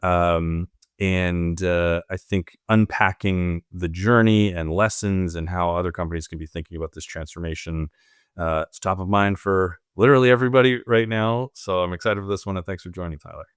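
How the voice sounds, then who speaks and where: A man's voice: deep voice, normal speed, smooth, no echo, clean studio sound. A man hosting a business podcast, introducing and questioning his guest in an upbeat, conversational way. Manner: upbeat, conversational with frequent fillers. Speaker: podcast host. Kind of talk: casual interview chat.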